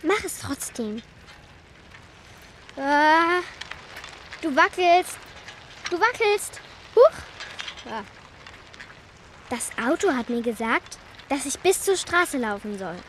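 A woman's voice: high-pitched, animated, slow pace, long pauses, a bit bright, a little hiss or hum, full character voice. A woman playing a brave, earnest and insistent young girl in a children's radio play. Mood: alarmed, excited.

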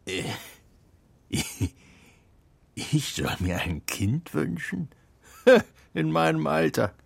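An actor in a children's radio play playing a humble, good-natured old puppet maker who speaks hesitantly, muttering interjections. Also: male voice, fairly high pitch, big pitch swings, very slow, gravelly, full character voice. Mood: hesitant, moved.

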